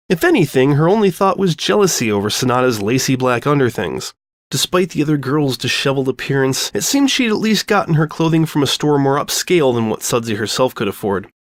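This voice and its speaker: Male storyteller, medium pitch, expressive scripted narration, very dry recording. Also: dry recording.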